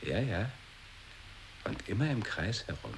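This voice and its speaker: A man's voice: fairly low pitch, very animated, a little slow, gravelly, background noise, exaggerated voice. An actor in an experimental radio play, playing an evasive one-legged man with a terse, questioning way of talking.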